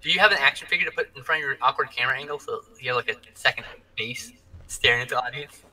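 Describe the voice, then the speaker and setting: A man's voice: medium pitch, very fast, sharp tone, low-quality recording. A man who co-hosts a geek chat, talking casually and jokily, swearing freely as he explains things. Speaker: co-host of a weekly geek chat show. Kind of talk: casual joking group chat.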